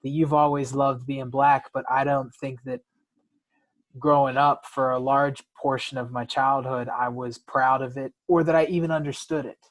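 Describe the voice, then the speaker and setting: Male podcast co-host, mid pitch, casual and reflective, halting delivery with repeated words. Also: little pitch movement. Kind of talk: casual personal conversation.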